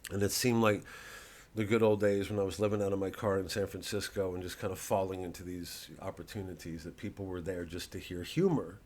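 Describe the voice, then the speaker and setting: Man, low voice, sardonic, halting, digressive, casual comedian's monologue. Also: steady tone, darkish tone. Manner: halting, digressive, peppered with "you know" and "man"; sardonic, mocking, profane when worked up. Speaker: comedian promoting his show. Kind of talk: rambling monologue to camera.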